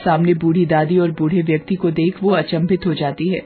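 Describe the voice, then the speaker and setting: A woman narrating a scripted cartoon storybook in an even, clear storytelling voice. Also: low voice, brisk, phone-quality sound, faint music behind.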